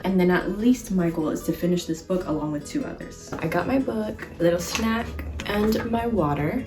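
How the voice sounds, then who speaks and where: Young female vlogger, informal and chatty, lowish voice, recorded in an echoey room over music. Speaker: book vlogger. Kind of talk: casual chat to camera, book review. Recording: roomy echo, music in the mix.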